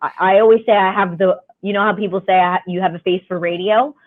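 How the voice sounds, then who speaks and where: A woman with a raspy voice speaks openly and casually, laughing now and then. Her voice sits in a middle range. It comes through a tinny, phone-like call connection. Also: medium pitch, steady tone, quick pace. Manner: frank, chatty, laughs often. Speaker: podcast guest. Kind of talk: candid conversational storytelling. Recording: tinny phone-line sound.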